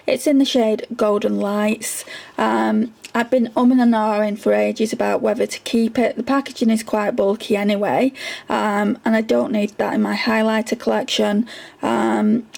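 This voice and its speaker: A woman talks casually through her makeup stash, filling pauses with ums and repeating words for emphasis. Her voice is gravelly with fry, and it is wearing out and going hoarse. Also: a little high, a little mellow. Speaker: beauty vlogger. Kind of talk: casual product review.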